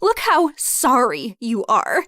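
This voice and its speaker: A woman's voice: fairly high pitch, wildly swooping pitch, slow pace, pushed and bright, no echo, studio quality, exaggerated voice. A woman voicing a bitter, spiteful young woman who sneers and spits accusations in a told story. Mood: scornful.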